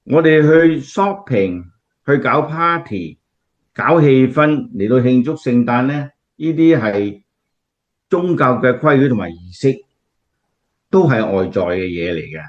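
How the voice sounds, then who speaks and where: A man's voice: medium pitch, lively intonation, a little slow, natural voice. Man playing an earnest Cantonese preacher, slightly muffled. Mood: explaining. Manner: explanatory, earnest, emphatic. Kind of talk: radio drama acting, sermon-like.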